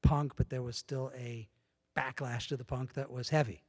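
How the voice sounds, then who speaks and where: A man's voice: mid-range voice, moderate pace. A middle-aged man telling stories as an interview guest in a casual way, acting out bits of dialogue as he goes. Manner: lively storyteller who acts out dialogue. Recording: long room reverb.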